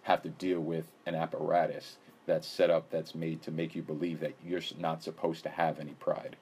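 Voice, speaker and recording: A man's voice: rumbling low, little pitch movement, moderate pace, a little mellow, a little hiss or hum. A man hosting a podcast interview in a casual, rambling way, hedging and laughing often. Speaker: podcast host.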